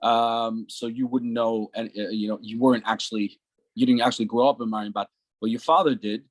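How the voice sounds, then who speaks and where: A man's voice: medium pitch, little pitch movement, a little fast, no echo. A man hosting an interview, asking long, loose questions full of fillers. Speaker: interviewer and host.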